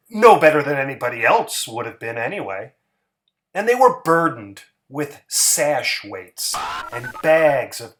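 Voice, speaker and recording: A man giving a dramatic, theatrical reading of a literary short story. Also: medium pitch. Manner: expressive, theatrical. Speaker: story reader.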